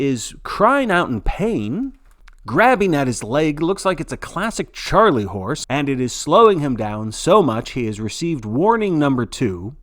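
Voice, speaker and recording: An earnest male sports broadcaster, acted out by a man calls a grim contest play by play. His mid-range voice is folksy and theatrical, with suspenseful pauses, recorded close and dry. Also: medium pitch, dry recording. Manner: dramatic, folksy announcer delivery with suspenseful pauses. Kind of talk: scripted play-by-play sports commentary.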